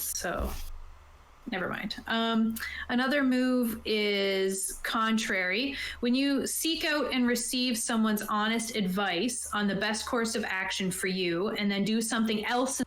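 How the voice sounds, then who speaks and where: A woman's voice: medium pitch, fairly bright tone. A woman casually explaining game rules on a tabletop stream, reading aloud and then putting it in informal plain terms. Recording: roomy, echoey sound.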